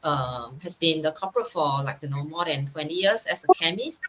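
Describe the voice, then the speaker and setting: A woman's voice: low voice, expressive, fairly quick, a crowd in the background, rough recording. A woman introducing herself casually, with fillers like "you know.". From Singapore. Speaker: guest.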